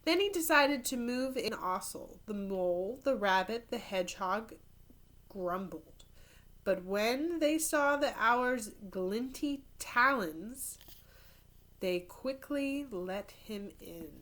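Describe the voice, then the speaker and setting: A woman teacher reading a picture book aloud to children in an expressive storytelling style, with casual explanatory asides. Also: on the high side, slow. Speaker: third grade teacher reading to pupils.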